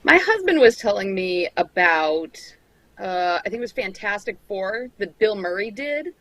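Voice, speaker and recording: A woman's voice: mid-range voice, big pitch swings, measured pace, fairly bright tone, no echo. A woman chatting casually with friends on a livestream. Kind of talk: casual chat.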